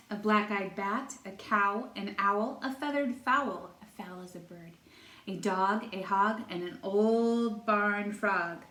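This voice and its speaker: A woman, a first-grade teacher, reads a rhyming storybook to young children in expressive, carefully spaced phrases. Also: mid-range voice. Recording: echoey room.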